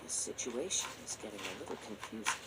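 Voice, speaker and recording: A man voicing a calm, polite and thoughtful young swordsman in a video game, speaking in measured, formal phrases. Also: lowish voice, heavy background noise, lightly acted. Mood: calm, pondering. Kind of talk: scripted video game dialogue. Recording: game music behind.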